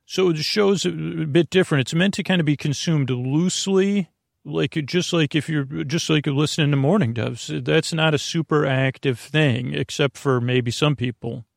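A man with a creaky voice hosting a bedtime podcast, rambling informally and soothingly, stammering and losing his thread as he goes. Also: on the high side, normal speed, no echo, studio quality. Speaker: podcast host.

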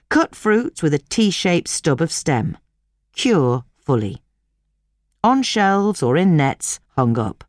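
A woman reading a scripted instructional article aloud as narrator, in measured, clearly separated phrases. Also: sits low, darkish tone, no room sound, clean studio sound.

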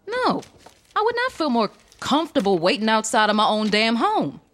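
Indignant, stressed wife in a fiction podcast, acted by a woman: defiant, sarcastic, pitched up. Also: wildly swooping pitch, moderate pace, fairly bright tone, slight background noise. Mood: angry, indignant. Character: indignant, stressed married woman writer under investigation. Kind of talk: dramatized audio fiction.

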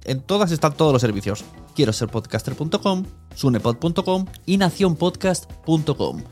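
A man's voice: fairly high pitch, sing-song, normal speed, a little mellow, soft background music. A man with an accent from Spain talks casually and directly to the listener as a podcast host. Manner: chatty, direct address to listener.

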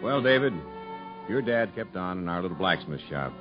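A blunt American prison captain, skeptical yet fair. His low voice swings widely in pitch with weary authority, on a muffled vintage radio drama recording. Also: lively intonation, moderate pace, over loud music. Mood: skeptical, measured. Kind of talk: 1950s radio drama acting.

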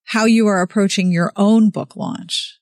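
A woman hosting a conversational interview, speaking in a thoughtful, measured, earnest way. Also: medium pitch, steady tone, a little mellow, no room sound, studio quality. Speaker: podcast host.

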